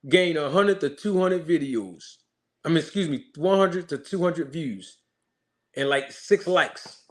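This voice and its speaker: A male channel host giving an emphatic commentary rant, mocking critics with repeated rhetorical questions. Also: sits high.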